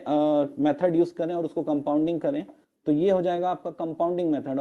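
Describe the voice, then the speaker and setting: A man with a hoarse throat presenting in an online meeting, explaining step by step and slipping English terms into his talk, with fillers. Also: a little high, lo-fi sound. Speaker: network marketing presenter. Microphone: video call.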